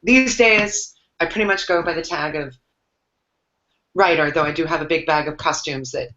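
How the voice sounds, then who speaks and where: A woman's voice: sits low, moderate pace, bright, forceful tone, a little hiss or hum. A woman on a panel, giving a rambling, reflective introduction full of fillers. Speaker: panel guest. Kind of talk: casual self-introduction on a video call. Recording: echoey room, dull lo-fi sound.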